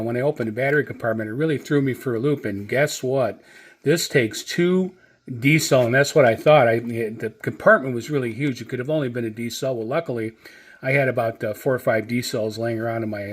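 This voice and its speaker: A man giving a casual, unscripted product review in a halting, hesitant way, often repeating phrases. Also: mid-range voice.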